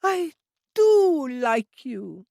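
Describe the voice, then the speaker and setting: Childlike young woman, very high, slow sing-song, eager and clinging, dry close sound. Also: big pitch swings, vocal fry, a little mellow, dry recording, exaggerated voice. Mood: eager pleading.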